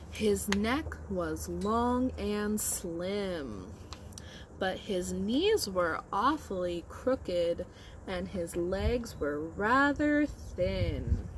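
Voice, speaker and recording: A woman's voice: mid-range voice, background noise. A woman teacher leading storytime for young children, explaining things simply and prompting them with questions. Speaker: early-grade teacher.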